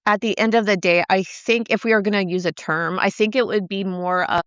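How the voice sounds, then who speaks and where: A woman's voice: medium pitch, fairly even intonation, brisk, clear, resonant tone, no room sound, highs cut off. A woman co-hosting a podcast, talking earnestly in casual conversation, often asking "right?" and repeating words as she thinks. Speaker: podcast co-host.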